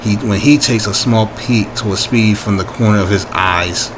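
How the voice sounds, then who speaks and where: A man reading his own story informally, haltingly, with asides and frequent rereading to correct himself. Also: on the low side, noisy background.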